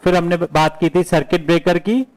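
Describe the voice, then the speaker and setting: A man's voice: fairly high pitch, steady tone, brisk, a little mellow. A middle-aged Indian man with a headset mic, teaching electrical engineering at a whiteboard and answering his own questions as he explains. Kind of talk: whiteboard lecture mixing Hindi and English. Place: indoor classroom studio with whiteboard.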